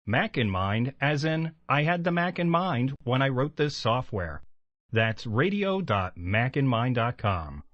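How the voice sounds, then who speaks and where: A man's voice: medium pitch, very animated, measured pace, dry recording, dull audio. A man reading a scripted programme introduction as a radio station announcer.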